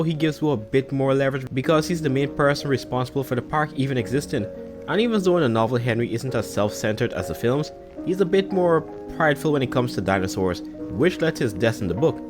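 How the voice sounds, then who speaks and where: A man giving casual, analytical fan commentary as a video host. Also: mid-range voice. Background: background music.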